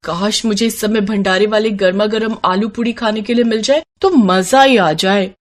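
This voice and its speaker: A woman's voice: mid-range voice, moderate pace, close to an everyday voice. A woman voicing a young daughter-in-law in a moral story, eager, earnest and a little pleading. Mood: longing.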